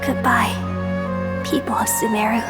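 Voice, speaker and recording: An actress playing a benevolent deity in a video game, solemn and tender, with loud music behind. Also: female voice, on the high side, little pitch movement, normal speed, natural voice. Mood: tender farewell. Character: benevolent female deity bestowing a tender blessing. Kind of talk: dramatic video game voice acting.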